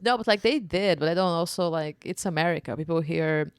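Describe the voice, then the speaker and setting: A young woman from Bulgaria with a Bulgarian accent, a stand-up comedian chatting casually, laughing often and telling self-mocking stories at a quick clip. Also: lowish voice, clean tone, no echo, studio quality. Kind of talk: casual chat.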